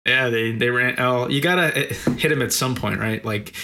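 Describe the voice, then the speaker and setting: A man's voice: mid-range voice, moderate pace, creaky, bright, forceful tone, a little hiss or hum. Jokey male podcast co-host, casual banter, everyday mid-range voice. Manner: joking, playful. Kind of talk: casual gaming podcast chat.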